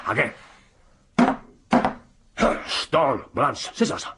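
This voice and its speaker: An actor playing a commanding, jovial intelligence chief in a radio drama, ceremonious and theatrical. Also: male voice, medium pitch, animated, very slow, heavy background noise. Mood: competitive, excited.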